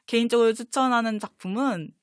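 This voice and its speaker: A woman's voice: fairly high pitch, fairly quick, smooth, no room sound, studio quality. A young adult woman guest in a casual chat, explaining her favorites at length in an enthusiastic, giggly manner. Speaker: guest on a fan talk show.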